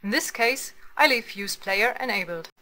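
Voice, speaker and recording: A woman reading scripted screencast narration as a tutorial narrator, in a measured, instructional manner. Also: on the high side, expressive, pushed and bright, a little hiss or hum.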